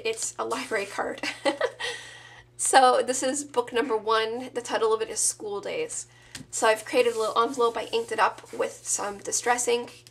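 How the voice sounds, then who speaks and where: A woman in her thirties with a sore throat, casually showing off her handmade scrapbook album in a relaxed, chatty, enthusiastic way. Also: fairly high pitch, vocal fry, slight background noise. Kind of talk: casual show-and-tell.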